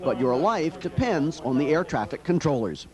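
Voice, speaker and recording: Higher-pitched American male news reporter. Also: very animated, normal speed, a crowd in the background. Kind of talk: scripted news feature narration.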